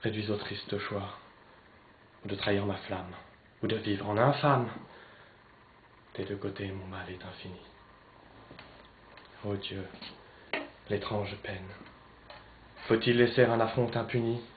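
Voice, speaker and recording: A man playing an anguished young Spanish nobleman torn between love and honour, declaiming classical French verse theatrically, swinging between hushed and loud. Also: fairly low pitch, fairly even intonation, slow, pauses often. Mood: lamenting. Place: poetry club, live recitation. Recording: roomy, slightly dull live recording, noisy.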